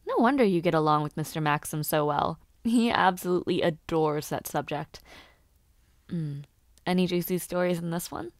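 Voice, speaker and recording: A young woman playing a lively noblewoman and aspiring knight in a fantasy audio roleplay, chatting to the listener in a teasing, expressive, conversational way. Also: on the low side, moderate pace, no room sound, studio quality, close to an everyday voice. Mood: curious, teasing.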